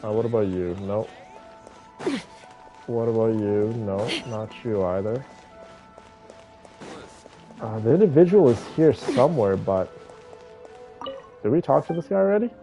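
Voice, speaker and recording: A man's voice: fairly low pitch, animated, measured pace, long pauses, warm tone, dull audio, soft background music. A man giving casual gameplay commentary, thinking aloud and talking to his viewers. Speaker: game streamer playing and commenting.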